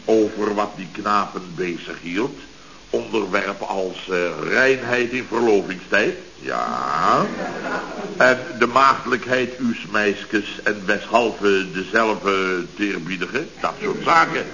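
An actor playing a blustering, scatterbrained middle-aged boss in a radio comedy, rambling self-importantly with stammering restarts and repetitions. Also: male voice, fairly low pitch, animated, slow pace, gravelly, sharp tone, heavily acted. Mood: pompous reminiscing. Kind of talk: 1970s radio comedy acting. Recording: hissy, muffled old radio recording.

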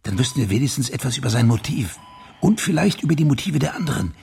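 An actor playing an insistent senior policeman who also narrates a radio thriller, measured and intense. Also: medium pitch, big pitch swings, creaky, darkish tone, a little hiss or hum. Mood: urgent, probing. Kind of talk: radio drama dialogue and first-person narration.

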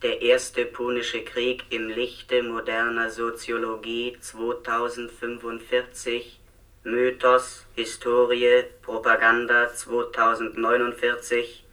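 A man's voice: mid-range voice, monotone, slow pace, sharp tone, far from the mic, poor audio. A man playing an emotionless robot speaker in a German radio play, reciting data files in a clipped, mechanical way. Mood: impassive, mechanical.

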